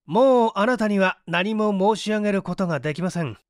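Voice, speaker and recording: A man voicing a calm, quietly assured detective in a mystery story, courteous and matter-of-fact. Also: high voice, brisk, dry recording, studio quality, voice pushed up, natural voice.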